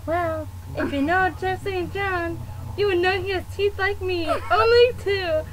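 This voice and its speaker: A woman voicing a silly, teasing cartoon sea sponge in an improvised amateur puppet show, excitable and shrieky. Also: very high voice, unhurried. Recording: noisy amateur home video sound.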